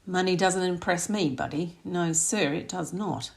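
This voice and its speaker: A woman reading a romantic comedy aloud as expressive audiobook narration. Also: fairly low pitch, measured pace, gravelly.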